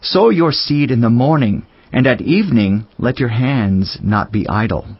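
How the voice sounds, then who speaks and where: An actor voicing a solemn, wise teacher in a dramatized scripture reading, speaking in a measured, declamatory way. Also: male voice, medium pitch, lively intonation, breathy edge, no room sound. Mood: solemn, reflective. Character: solemn male Teacher, wise biblical sage reflecting on life. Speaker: scripture reader. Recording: dull lo-fi audio over music.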